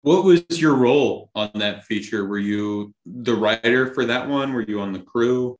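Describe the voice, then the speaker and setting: A man's voice: mid-range voice, measured pace. A man co-hosting a podcast in casual conversation, recorded with a roomy echo. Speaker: podcast co-host. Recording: roomy, echoing room sound.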